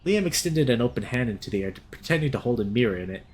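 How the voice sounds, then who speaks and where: A young man reads a visual novel aloud as a let's play host. His delivery is expressive and theatrical, and his pitch moves around a lot as he shifts between narration and his own reactions. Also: fast talker, a little hiss or hum. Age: young adult.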